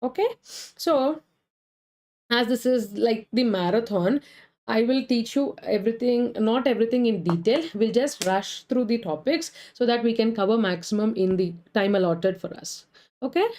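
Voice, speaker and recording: A woman with an Indian English accent, suffering from a cold, teaching a live online medical exam class, answering viewers' comments between points briskly. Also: fairly high pitch, darkish tone. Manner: brisk, reads chat names and answers viewers. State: has a cold.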